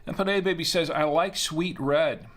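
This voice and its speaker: A man's voice: on the high side, normal speed, a bit bright. A middle-aged man from Florida hosting a casual wine-tasting livestream, chatting in a folksy way and reading out viewer comments. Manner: chatty, folksy, often trails off and restarts. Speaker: wine review livestream host.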